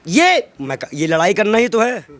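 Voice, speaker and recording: A man's voice: high voice, sing-song, normal speed, fairly bright tone. A man giving an impassioned political interview, arguing indignantly with rhetorical questions and emphatic repetition.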